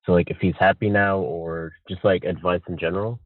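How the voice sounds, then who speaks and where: A man's voice: low voice, fairly even intonation, brisk, dry recording. A young man calling in to a show, speaking politely and briefly over a phone line. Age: young adult. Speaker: caller.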